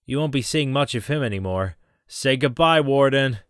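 A man voicing a gentle, reassuring adult reporter in a fan audio drama. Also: mid-range voice, lively intonation, normal speed, smooth, dry recording, studio quality, natural voice. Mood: calm. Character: calm kindly adult male reporter.

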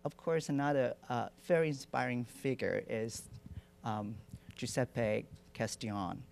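A man's voice: mid-range voice, unhurried, gravelly, slight background noise. Middle-aged man, mid voice, hesitant, wry; handheld mic, lecture hall. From Taiwan. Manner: relaxed, hesitant with many fillers, self-deprecating humor. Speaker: artist giving a lecture. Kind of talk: lecture presentation. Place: lecture hall with projection screen. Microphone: handheld microphone.

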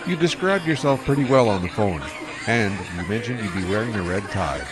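A man's voice: on the low side, expressive, fairly quick, over music, close to an everyday voice. An actor playing an easygoing young male starship pilot who talks in a casual, laid-back way in an audio drama.

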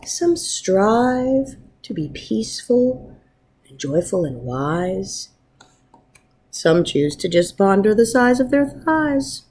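A woman in her thirties, a first grade teacher, talking informally to young pupils and reading aloud in an encouraging, expressive way. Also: mid-range voice, slow, slight background noise. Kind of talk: warm informal message to pupils and picture-book read-aloud. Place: wood-ceilinged room at home, indoors. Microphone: webcam at arm's length.

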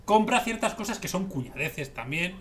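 A man with a Spanish accent from Spain and a slightly high voice talks politics in a rambling, emphatic way. He repeats himself as he argues casually. The home-stream recording carries some light noise behind him. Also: lively intonation, moderate pace, a bit bright. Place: small room at home, video call.

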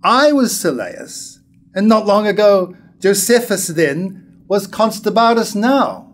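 Older man acting a slow, bitter wronged husband. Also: sits high, animated. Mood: reproachful, solemn. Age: 70s. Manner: measured, declamatory. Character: wronged husband, bitter, reproachful, mournfully prophetic. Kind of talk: staged verse reading of Jacobean tragedy. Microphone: stand microphone at lectern.

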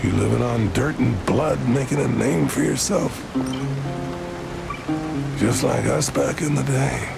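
Menacing old western gunslinger, super gravelly slow taunting drawl, loud music behind. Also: male voice, mid-range voice. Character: menacing aging male Western outlaw gunslinger.

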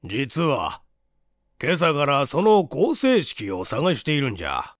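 A man's voice: a little high, huge pitch swings, slow pace, dry recording, clean studio sound, full character voice. A man voicing an irascible, domineering old male scientist in a barking, imperious manner, for a story reading. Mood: troubled, confiding.

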